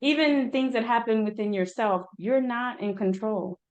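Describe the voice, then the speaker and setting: A woman's voice: on the high side, moderate pace, darkish tone, highs cut off. A woman hosting a podcast, speaking in a casual, conversational interview style.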